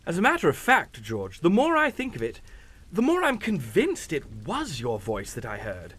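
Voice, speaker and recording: A man's voice: on the high side, huge pitch swings, moderate pace, a bit bright, breathy edge, exaggerated voice. An actor playing a sly, unflappable captain in a radio drama, suave and mocking, drawing out his insinuations. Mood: sly, insinuating. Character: sly, unflappable English captain, needling blackmailer.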